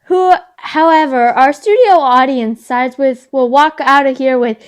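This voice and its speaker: A woman reading the part of a brisk reality TV host in readers theater. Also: high voice. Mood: presiding.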